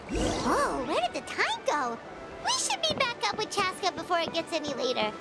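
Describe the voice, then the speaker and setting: A woman voicing a tiny, blunt, childlike girl companion in a video game, squeaky and exclamatory. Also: wildly swooping pitch, moderate pace, full character voice. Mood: brisk, eager. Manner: refers to self in third person, exclamatory. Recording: music behind the voice. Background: game music.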